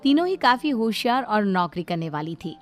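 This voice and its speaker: A woman's voice: mid-range voice, very animated, moderate pace. A woman narrating a scripted family story as the storyteller. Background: background music.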